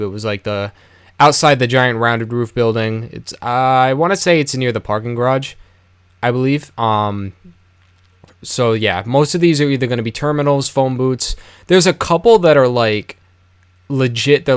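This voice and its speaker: A man's voice: medium pitch, clear, resonant tone, dry recording. An American man giving casual game walkthrough commentary in a rambling, offhand, conversational way. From United States. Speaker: video game guide host.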